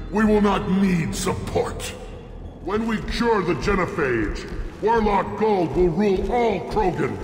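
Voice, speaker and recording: Game villain: bloodthirsty alien clan spokesman. High register for a man, grandiose threats, long reverb, faint music. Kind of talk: video game villain acting.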